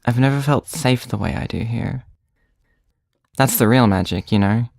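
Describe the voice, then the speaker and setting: An actor playing a gentle, vulnerable person speaking affectionately in an intimate audio drama monologue, tender and sincere. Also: medium pitch, normal speed, vocal fry, no echo, studio quality, close to an everyday voice. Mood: tender, grateful. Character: gentle, vulnerable bar resident leaving an affectionate voicemail.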